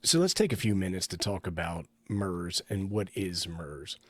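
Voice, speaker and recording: A man's voice: deep voice, animated, normal speed, dry recording. A man giving a casual hands-on product review, explaining each step as he goes. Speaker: radio hobbyist reviewer, host.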